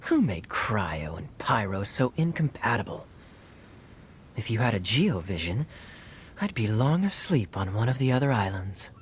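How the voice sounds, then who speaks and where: A man playing a suave young adventurer in a video game, sly and playfully sardonic, with a smooth theatrical manner. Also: lowish voice, sing-song, a little slow, a little hiss or hum. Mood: teasing. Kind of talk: video game cutscene acting. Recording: music playing behind the voice.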